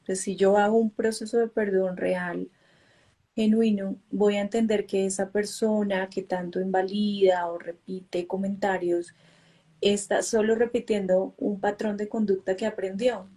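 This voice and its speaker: A woman psychologist co-hosting a live online talk, calm and measured, reading out questions from the audience. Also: medium pitch. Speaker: psychologist co-host. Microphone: video call.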